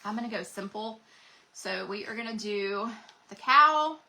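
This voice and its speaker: Bright-voiced woman crafting live. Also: medium pitch, animated, measured pace, creaky, slight background noise, low-quality recording. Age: 40s. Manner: chatty, folksy, talks to viewers as "y'all". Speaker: craft channel host.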